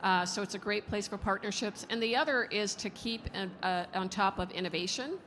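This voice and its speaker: A woman with a bright, forceful voice speaks in a polished, upbeat way on a panel. Her voice rings with a long hall reverb over faint room ambience. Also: medium pitch, fairly quick, a little hiss or hum. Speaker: investor and founder on a panel. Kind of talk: panel discussion. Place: conference stage in a large hall.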